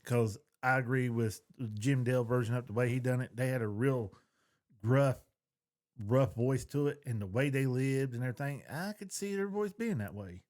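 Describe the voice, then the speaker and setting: A middle-aged man talks casually as a podcast co-host. His pitch is average, and his manner is folksy, plain and opinionated. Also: moderate pace, darkish tone. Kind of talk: casual chat.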